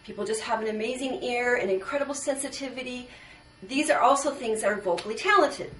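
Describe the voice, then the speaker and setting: A woman voice teacher explaining points one by one in a measured instructional video. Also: a little high, fairly bright tone, a little hiss or hum. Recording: echoey room, distant mic.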